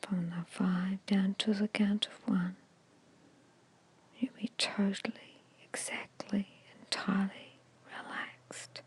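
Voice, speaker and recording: A woman guiding an ASMR meditation in a hushed, soothing, breathy whisper with short, measured phrases. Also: medium pitch, flat delivery. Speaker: hypnosis and meditation guide.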